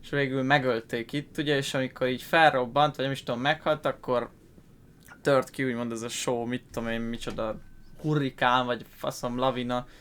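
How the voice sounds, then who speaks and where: Clear-voiced Hungarian male gamer, casual commentary. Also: medium pitch, flat delivery, fast, a bit bright. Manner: rambling, informal, coarse explaining. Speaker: gaming YouTuber. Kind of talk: casual let's play commentary.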